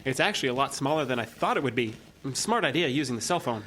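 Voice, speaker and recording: A man's voice: medium pitch, animated, fast, a bit bright, a little hiss or hum, natural voice. A man plays a mild-mannered young scientist in an audio drama. His voice sits in a middle range. He reports things earnestly and a little hesitantly, sticking to the facts. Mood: matter-of-fact. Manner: hesitant, matter-of-fact.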